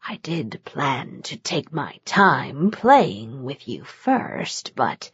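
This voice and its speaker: A woman voicing a cruel, taunting male demon in a hushed, whispery voice, drawing out his words slowly for an audiobook. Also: rumbling low, big pitch swings, slightly rough, no room sound, voice pushed down, full character voice. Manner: slow, drawn-out menace. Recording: dull lo-fi dry audio.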